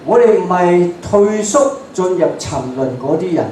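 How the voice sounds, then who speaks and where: A man with a Hong Kong Cantonese accent preaches in short, emphatic bursts, stopping after each for an interpreter. His voice is mellow and fairly high for a man. It is picked up from a distance in a very echoey church hall with a faint hiss. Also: animated. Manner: emphatic, speaks in short phrases and pauses for the interpreter. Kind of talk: bilingual sermon.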